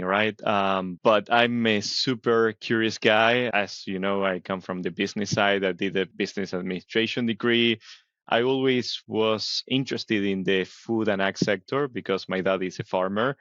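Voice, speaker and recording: Man, middle-aged, from Argentina, interview guest, lowish voice, dull dry lo-fi audio. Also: normal speed, fairly bright tone, clean tone, no room sound. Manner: thoughtful, explains with frequent "right?". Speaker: biotech company CEO, guest. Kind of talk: conversational interview answers.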